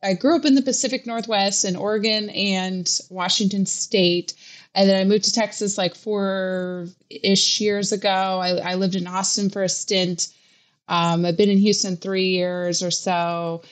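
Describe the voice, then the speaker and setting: A woman's voice: mid-range voice, fairly even intonation, a little slow. A young adult woman from Hawaii, a real estate reporter chatting in a casual podcast interview, with lots of fillers and restarts.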